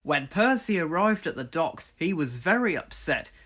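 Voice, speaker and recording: A storyteller reading a scripted children's read-along with expressive delivery. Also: medium pitch, normal speed. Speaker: storybook narrator.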